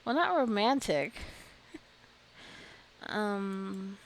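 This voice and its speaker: A woman's voice: mid-range voice, expressive, very slow, slight background noise. A woman giving casual gameplay commentary, chatty and giggly, often talking straight to her game characters. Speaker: gameplay video creator.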